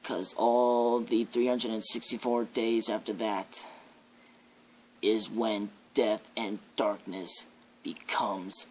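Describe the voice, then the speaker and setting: A man voicing a menacing devil in an ASMR horror roleplay, with an ominous, drawn-out, theatrical delivery. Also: lowish voice, little pitch movement, darkish tone, a little hiss or hum, poor audio. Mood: brooding menace. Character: menacing devil, a living nightmare preaching fear and death.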